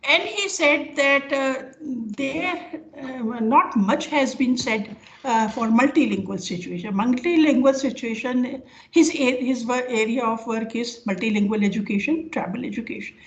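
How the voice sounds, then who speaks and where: An older woman with an Indian accent giving an informal academic lecture over a video call, speaking haltingly as she explains with examples. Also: a little high, slight background noise. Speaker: professor giving an online lecture. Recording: dull, lo-fi online call audio.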